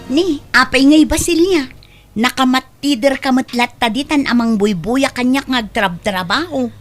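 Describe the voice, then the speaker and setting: A woman's voice: high-pitched, normal speed, soft background music, close to an everyday voice. A woman playing a hardworking housemaid in an Ilocano comedy drama, meek to employers and teasing with peers. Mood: complaining. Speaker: voice actress.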